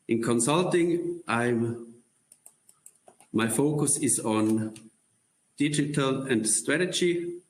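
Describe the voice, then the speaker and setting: A man presenting a corporate career webcast, halting with many pauses and throat clears. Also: mid-range voice, reverberant, low-quality recording.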